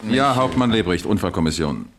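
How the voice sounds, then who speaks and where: An actor playing a resolute, businesslike police captain and chief investigator in a 1960s East German radio play. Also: male voice, sits low, expressive, fairly quick, slight background noise.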